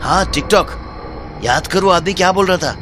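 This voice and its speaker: A man's voice: sits high, measured pace, background noise. A man voicing a nervous, chatty young man in a zombie cartoon. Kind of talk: Hindi cartoon dubbing. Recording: voice over loud music.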